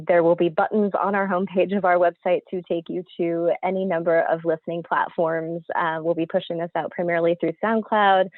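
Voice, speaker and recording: A woman hosting an event as a producer, making casual announcements and thanks. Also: lowish voice. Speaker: theater company producer hosting a launch event. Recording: thin phone-like audio, roomy echo.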